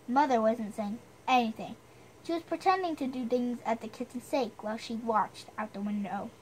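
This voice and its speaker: A woman's voice: fairly high pitch, fairly bright tone, slight background noise, low-quality recording. A woman reading a storybook aloud with chatty, self-deprecating asides, stumbling over the odd word.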